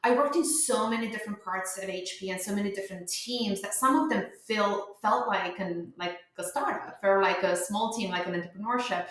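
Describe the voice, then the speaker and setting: A woman's voice: mid-range voice, fairly quick, hardly any pauses. Romanian-born woman in an echoey room. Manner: chatty, many fillers and restarts. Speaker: startup founder guest. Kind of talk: conversational interview answers.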